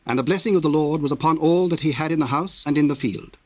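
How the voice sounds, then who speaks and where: A man narrating scripture in a solemn, measured, formal way, reading from a script. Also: on the high side, dry recording.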